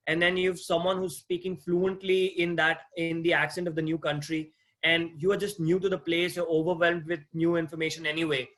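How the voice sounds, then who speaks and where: A man's voice: high-pitched, fairly bright tone. Man with an accent giving an online lecture. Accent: non-native English accent. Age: 30s. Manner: conversational, asks the class questions, frequent restarts. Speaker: guest lecturer, mortgage agent. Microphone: video call.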